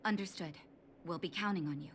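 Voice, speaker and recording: Calm, shrewd lowish-voiced female doctor played by an actress. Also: slight background noise, close to an everyday voice. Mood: measured agreement. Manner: poised, deliberate, probing. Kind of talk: scripted video game voice acting.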